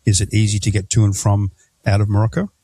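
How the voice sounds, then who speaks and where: A man's voice: fairly low pitch, flat delivery, quick pace, noisy background. A man hosting a podcast, chatting casually and asking his guests questions. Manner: conversational, asks questions. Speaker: podcast host. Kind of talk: casual interview chat.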